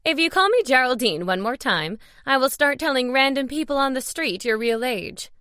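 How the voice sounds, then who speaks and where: A brash older woman meddles with playful relish as a female narrator acts her out. Her voice is pushed higher and comes across bold and teasing, in very dry sound. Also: normal speed, pushed and bright, clear, resonant tone, no echo. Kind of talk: audiobook character dialogue.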